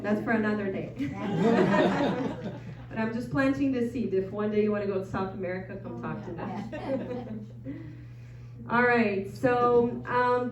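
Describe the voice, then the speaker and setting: A woman's voice: medium pitch, a little mellow. A woman with a Brazilian Portuguese accent, Portuguese as her first language, giving a casual travel presentation to a live audience with frequent ums and uhs. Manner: chatty, frequent ums and uhs, self-deprecating. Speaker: travel presenter at an info session. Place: meeting room with an audience. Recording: echoey room from far off, dull sound.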